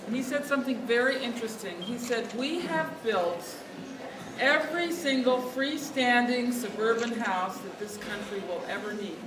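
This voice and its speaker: A woman with a quiet voice giving a speech without a microphone, speaking earnestly to lift her voice over the room. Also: a little high, moderate pace, background noise. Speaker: speaker at a lobby day. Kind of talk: outdoor speech without a mic. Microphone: no mic, picked up from a distance. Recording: distant echoey recording with loud ambience.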